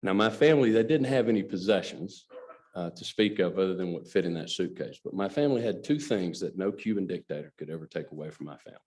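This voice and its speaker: Deep-voiced man giving a speech. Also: expressive, fast talker, a little mellow. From Alabama, USA. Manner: earnest storytelling, addresses audience directly. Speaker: guest speaker, company president. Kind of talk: luncheon speech.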